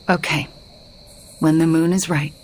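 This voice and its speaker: An actress playing an anxious, self-doubting young sorceress apprentice, hesitant and hushed, in a video game cutscene. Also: female voice, deep voice, little pitch movement, slow.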